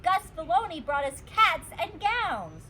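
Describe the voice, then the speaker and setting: A woman voicing an excitable, insistent little schoolgirl in a children's storybook, calling out forcefully. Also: very high-pitched, measured pace, a little hiss or hum, voice pushed up, exaggerated voice. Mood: excited. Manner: shouting, insistent. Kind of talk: children's storybook character reading.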